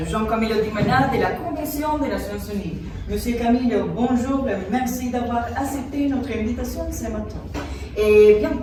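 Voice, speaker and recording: A woman's voice: fairly high pitch, close to an everyday voice. A woman playing a courteous TV news anchor in an amateur sketch, presenting formally. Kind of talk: amateur classroom dramatisation of TV news. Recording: very echoey room, distant mic, loud noise.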